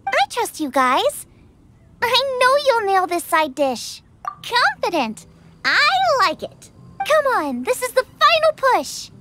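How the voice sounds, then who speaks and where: Anime game role: chirpy, confident young girl cook, extremely high and animated. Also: takes it slowly, very noisy, exaggerated voice. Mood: confident, encouraging. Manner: chirpy, enthusiastic. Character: bubbly, confident teenage girl chef. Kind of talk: anime video game voice acting.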